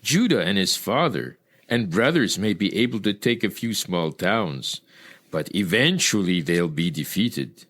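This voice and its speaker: Smug high priest played by a man, low and bright, theatrical and dismissive, for radio drama. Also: animated, measured pace, exaggerated voice. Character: smug pragmatic high priest favouring assimilation. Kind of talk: old-time radio style acting.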